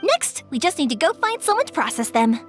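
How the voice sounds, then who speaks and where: Energetic young shopkeeper, talkative and upbeat; very high, lively delivery. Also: female voice, brisk, soft background music. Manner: bubbly, talkative. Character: cheerful young fireworks-shop owner, energetic. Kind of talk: video game voice acting.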